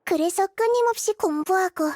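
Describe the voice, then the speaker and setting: A woman voicing a small, gentle child goddess in a game, soft-spoken, thoughtful and wistful, with measured phrasing. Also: extremely high pitch, dry recording. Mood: wistful, resolute. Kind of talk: Korean game voice acting, introspective monologue.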